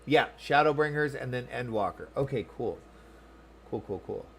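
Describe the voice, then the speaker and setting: A man's voice: mid-range voice, expressive, moderate pace, creaky, slight background noise. A man streaming a game, giving casual commentary and musing aloud informally as he chats with viewers.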